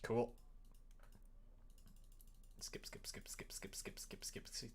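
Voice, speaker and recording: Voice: takes it slowly, in a whisper. A streamer giving casual live coding commentary, thinking aloud, muttering to themselves and breaking into playful exclamations. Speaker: streamer making a visual novel.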